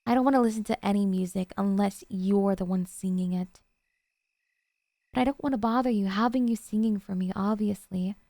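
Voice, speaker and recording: A woman playing a doting, jealous yandere girlfriend in an ASMR roleplay, coaxing and sweetly earnest with hesitant pauses. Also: medium pitch, creaky, mellow, no echo, clean studio sound, natural voice. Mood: earnest, possessive.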